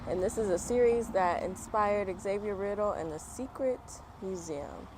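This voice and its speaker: A woman's voice: mid-range voice, moderate pace. A woman reading a children's picture book aloud with chatty, encouraging asides to young viewers, recorded outdoors. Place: outdoors on a fall day. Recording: outdoor background noise, passing vehicles. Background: outdoor ambience, traffic.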